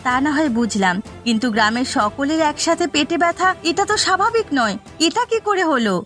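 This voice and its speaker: A woman's voice: high-pitched, lively intonation, moderate pace, a bit bright, soft background music. A woman voicing an earnest, emphatic village shopkeeper in a fairy-tale cartoon. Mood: questioning. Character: earnest village woman running a food shop.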